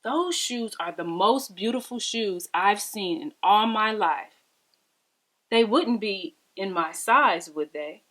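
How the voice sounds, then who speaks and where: A woman's voice: mid-range voice, lively intonation, takes it slowly, fairly bright tone. A woman voicing a needy old woman in a storybook, wistful and polite. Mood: admiring, wistful.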